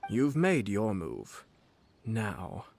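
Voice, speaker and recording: A man voicing a courteous, quietly scheming nobleman commissioner in a video game, measured, polite and condescending. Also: fairly low pitch, huge pitch swings, creaky, a little hiss or hum, heavily acted. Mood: calm confidence. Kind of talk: English video game voice acting.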